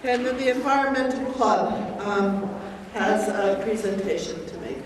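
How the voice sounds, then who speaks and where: A woman's voice: mid-range voice, measured pace, over a noisy crowd. A woman chairing a meeting, giving brief prompts to the speakers. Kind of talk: running a meeting. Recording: echoey hall from far off with people and hiss.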